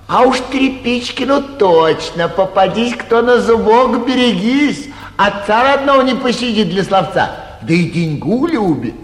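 Flighty, self-satisfied young gentleman; high, swooping delivery, stage comedy in a reverberant hall. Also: lively intonation, measured pace. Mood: gleeful, boastful. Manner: breezy, careless, self-satisfied. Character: boastful, flighty young gentleman mistaken for an official. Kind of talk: theatrical 1940s stage comedy acting. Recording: echoey 1949 theatre radio production.

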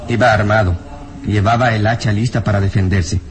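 An actor playing a burly, frightened and bitter peasant villager in a melodramatic radio drama, anguished, emphatic and halting. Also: male voice, fairly low pitch, fairly even intonation, a little hiss or hum, lightly acted. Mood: horrified.

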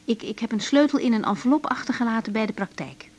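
An actress playing a breezy, decisive young woman doctor in a naturalistic radio drama, talking in a conversational, gently teasing way. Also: a little high, quick pace, lightly acted. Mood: brisk, explaining. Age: young adult.

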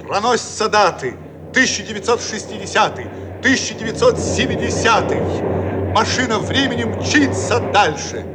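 A man's voice: sits high, expressive, moderate pace, heavy background noise. A man narrating a radio play in a declamatory, solemn announcer manner. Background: loud music.

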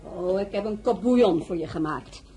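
A husky, motherly maid. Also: on the low side, normal speed, mellow, soft background music, low-quality recording. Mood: coaxing. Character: caring, insistent older household maid and confidante. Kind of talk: audio drama acting.

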